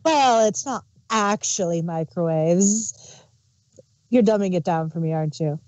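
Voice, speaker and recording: Female co-host, unhurried delivery, dry close recording. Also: on the low side, darkish tone, no room sound. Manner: slow, agreeing, giggly asides. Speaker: podcast co-host reviewing an audio drama. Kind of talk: casual review chat.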